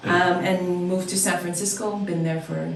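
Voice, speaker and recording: A woman's voice: fairly low pitch, steady tone, normal speed, vocal fry, noisy background. A woman from Hawaii who teaches dance, answering interview questions in a friendly, easygoing way with frequent fillers. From Oahu, Hawaii. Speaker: dance instructor, guest. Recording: echoey room, mic at a distance.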